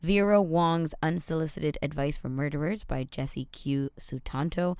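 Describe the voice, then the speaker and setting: A woman hosting a podcast, chatting in a casual, upbeat way with quick asides; her first language is Tamil. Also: deep voice, darkish tone, clear, resonant tone, no room sound, clean studio sound. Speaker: podcast host.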